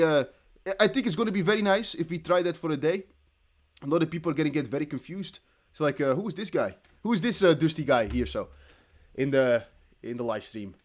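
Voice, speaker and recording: A young man with a Dutch accent, hosting a crypto livestream in casual chat, reading viewer comments aloud. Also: fairly high pitch. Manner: chatty, animated, reads viewer comments aloud with quick laughs.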